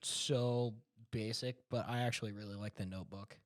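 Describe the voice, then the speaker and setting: A man's voice: on the low side, fairly even intonation, normal speed, a little hiss or hum. A man talking casually among friends in a hesitant, very colloquial way. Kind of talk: casual group chat.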